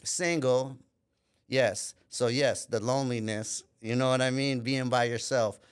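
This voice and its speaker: A man's voice: mid-range voice, fairly even intonation, clear, resonant tone. A man from Minneapolis talking as a podcast guest, telling his life story candidly and reflectively, often adding "you know". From Minneapolis, USA. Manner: pauses and restarts mid-thought. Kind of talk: casual life-story interview.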